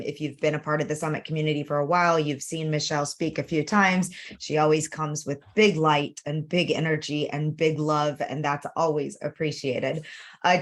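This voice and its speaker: Upbeat woman hosting a webinar, low voice. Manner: chatty, upbeat, with frequent fillers and restarts. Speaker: webinar host. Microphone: video call.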